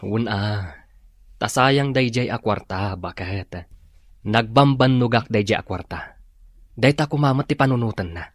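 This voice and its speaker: A disapproving man in a radio drama, with a fairly low voice. He grumbles mildly, close and dry on the recording. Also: measured pace, no room sound.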